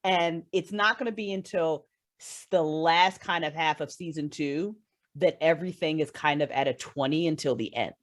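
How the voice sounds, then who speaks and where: A woman speaks as a guest in a relaxed, candid interview. Her voice is a little lower than most women's, and she rambles through her thoughts with emphatic stresses and informal, unfiltered phrasing. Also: moderate pace. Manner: rambling, emphatic, freely swearing. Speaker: guest, audio drama writer and creator. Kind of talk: casual, candid interview chat.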